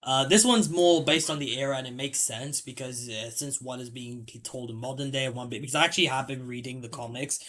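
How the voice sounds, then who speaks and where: A man's voice: medium pitch, fairly quick, hardly any pauses, fairly bright tone. A man hosting a casual podcast debate, speaking in an opinionated, argumentative way. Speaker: podcast host.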